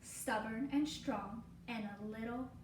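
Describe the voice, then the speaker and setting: A woman teacher reading a children's storybook aloud with expressive, dramatic, deliberate phrasing. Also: a little high. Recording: roomy, distant mic, faint noise.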